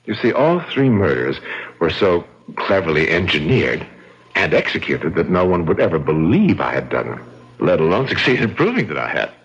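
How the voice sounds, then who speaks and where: Deep, husky, gloating radio villain. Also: male voice, sing-song, a bit bright, exaggerated voice. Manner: mocking, taunting, theatrically deliberate. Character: suave, gloating murderer, charming and coldly cruel. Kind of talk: old-time radio drama acting. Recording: dull, lo-fi radio drama recording.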